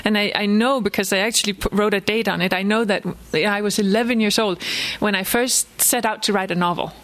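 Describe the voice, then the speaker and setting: A woman author with a Danish accent, a native Danish speaker, talks informally to an audience. Her delivery is halting, with words doubled and restarted as she thinks aloud. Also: mid-range voice, fairly even intonation, fairly bright tone. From Denmark. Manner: halting, frequent self-repetition, chuckles often. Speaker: author giving a book talk. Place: live book event before an audience, recorded for a podcast.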